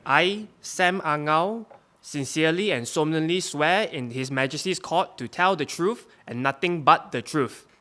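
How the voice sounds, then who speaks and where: A man's voice: fairly high pitch, a little slow, pushed and bright, slight background noise. A man acting a wartime military interpreter, speaking in a stiff, formal, curt way. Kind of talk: radio drama acting.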